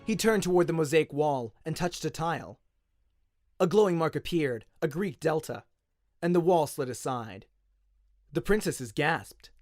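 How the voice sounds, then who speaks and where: A man's voice: on the high side, expressive, a little slow, darkish tone, soft background music. A man with an Australian accent giving a scripted, dramatic narration of a story. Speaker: audiobook narrator.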